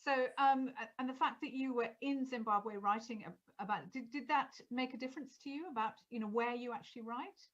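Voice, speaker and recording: A woman interviewer with a fairly high voice asks long, wandering questions full of "um" and "you know". The sound is somewhat dull and lo-fi. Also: brisk, hardly any pauses, fairly bright tone. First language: English. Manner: rambling, hesitant questions with many fillers. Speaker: interviewer host. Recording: dull lo-fi audio.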